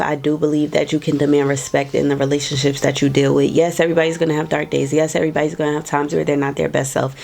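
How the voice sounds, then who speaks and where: A woman's voice: low voice, creaky, warm tone. A young adult woman hosting her own show, talking earnestly and emphatically to viewers, a little nasal from a cold. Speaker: podcast host. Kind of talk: casual reflective talk to viewers.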